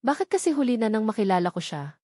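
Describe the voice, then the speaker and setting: A woman's voice: a little high, sing-song, fast, mellow, no room sound. Filipino woman narrating, close and dry. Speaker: audiobook narrator. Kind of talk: scripted romance novel narration.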